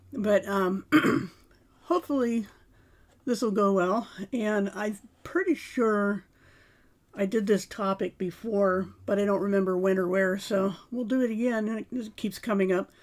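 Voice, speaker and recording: Raspy-voiced woman hosting a livestream. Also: medium pitch. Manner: clears throat often, hesitant fillers. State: raspy, sore throat, sinus congestion. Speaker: livestream host. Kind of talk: casual livestream talk and article reading.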